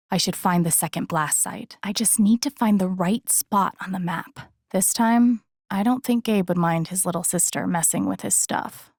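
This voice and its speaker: A woman's voice: lowish voice, vocal fry, darkish tone, no room sound, clean studio sound. An actor playing an empathetic, introspective young woman in a video game, musing to herself in an understated, reflective way. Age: young adult. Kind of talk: naturalistic video game voice acting, inner monologue.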